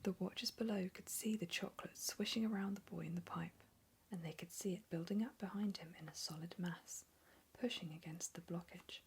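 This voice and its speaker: A woman reading a story aloud in a soft whisper. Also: on the low side, brisk, a little hiss or hum.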